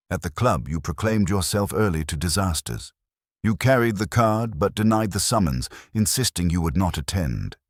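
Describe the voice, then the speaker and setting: A man voicing a stern, pedantic detective genius in an audiobook, with slow, formal, commanding speech. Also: sits low, no echo, studio quality. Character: imperious, calm, stern male detective genius, pedantic and unyielding.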